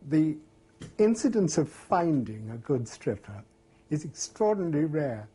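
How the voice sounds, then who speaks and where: A man speaking as an agent in an interview, measured and slightly formal. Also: fairly high pitch, big pitch swings, mellow, breathy edge. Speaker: stripper agent.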